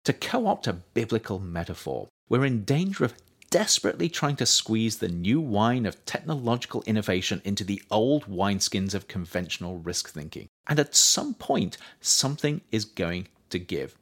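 A man reading his own nonfiction book aloud as scripted audiobook narration, with measured, emphatic phrasing. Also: lowish voice, big pitch swings.

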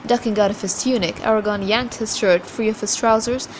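A woman reads a story aloud expressively, in a mid-pitched voice. The recording is noisy, with an ambient rumble like a vehicle behind her. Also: a little fast. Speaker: reader. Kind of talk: expressive book reading after a casual introduction.

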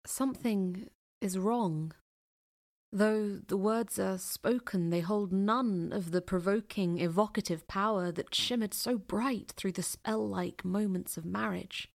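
Slow, very creaky woman narrator. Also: mid-range voice. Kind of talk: scripted literary narration for an audio play.

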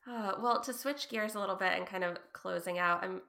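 Gravelly-voiced woman podcast host. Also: medium pitch, fairly quick. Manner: warm affirming listener, frequent "mm" and "yeah". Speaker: podcast host, trauma therapist. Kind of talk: casual interview and scripted outro.

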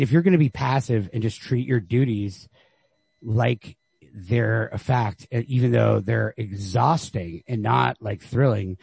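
A man with a creaky, rough-edged voice talks casually about literature as a podcast co-host. He is informal and emphatic, and the recording sounds dry and close. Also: mid-range voice, darkish tone, no echo.

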